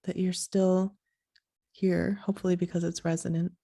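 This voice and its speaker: A woman hosting a podcast in a soft, sleepy voice, talking in a slow, halting, reflective way. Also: on the low side, flat delivery, vocal fry. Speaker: podcast host.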